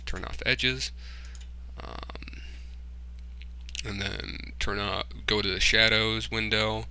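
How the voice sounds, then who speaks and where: A man giving a casual screen-recorded software tutorial, informally thinking aloud and muttering to himself as he works. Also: on the low side, steady tone, slow, a little hiss or hum. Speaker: tutorial host, hobbyist game developer.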